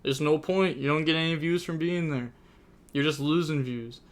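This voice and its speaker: A man's voice: fairly high pitch, normal speed. A young man casually commentating a card game tournament on his live stream, chatty and rambling as he thinks aloud.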